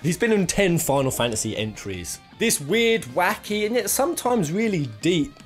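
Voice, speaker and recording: Animated, higher-voiced man hosting gaming commentary. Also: normal speed, soft background music. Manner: informal, rambling, emphatic. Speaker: host of a gaming countdown video. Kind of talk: enthusiastic opinion commentary.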